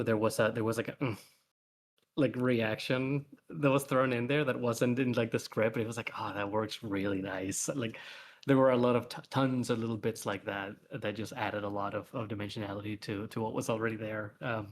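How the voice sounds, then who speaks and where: A man chatting informally as a guest author, thinking aloud in halting bursts. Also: fairly low pitch. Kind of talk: casual chat. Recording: faint background noise. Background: faint ambient noise.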